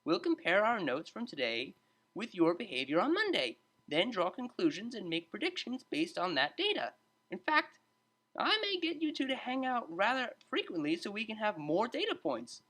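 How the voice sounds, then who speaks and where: A narrator voicing a cheerful, meddling male pony psychology tutor with an animated lecturing manner. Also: mid-range voice, normal speed, voice pushed up. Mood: patient, eager.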